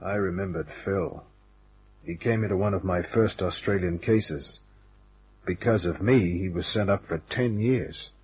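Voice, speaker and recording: A man's voice: sits low, measured pace, darkish tone, breathy edge, full character voice. An actor playing a wry, tough private detective in a radio drama, wisecracking and hard-boiled, who also narrates his case. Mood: tense, challenging. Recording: dull, band-limited old radio recording.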